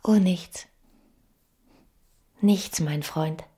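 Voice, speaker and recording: A woman's voice: on the low side, extremely slow, mellow, breathy edge, no echo, full character voice. An actress playing a passionate, dreamy young married woman caught up in an adulterous love, in a literary radio play. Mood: guarded, distracted.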